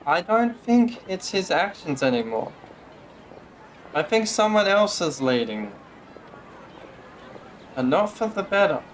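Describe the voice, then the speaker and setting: A man's voice: high-pitched, sing-song, slow pace, pauses often, slight background noise, rough recording. A man giving casual game commentary as a streamer, thinking aloud in a conversational way. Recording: game music behind. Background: game music with guitar.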